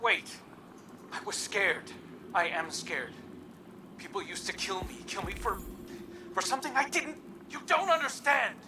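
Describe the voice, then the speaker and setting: Hushed, halting, troubled man. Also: high voice, background noise. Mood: pleading, afraid. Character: troubled, guilt-ridden, gentle man pleading with friend. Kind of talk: naturalistic game cutscene acting. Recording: distant voice with music behind.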